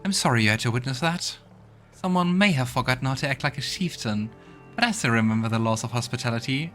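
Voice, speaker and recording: A man's voice: mid-range voice, animated, quick pace, fairly bright tone, faint music behind, natural voice. A man voicing a haughty, indignant young village priestess in a fantasy game, speaking formally and scolding. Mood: formal, welcoming.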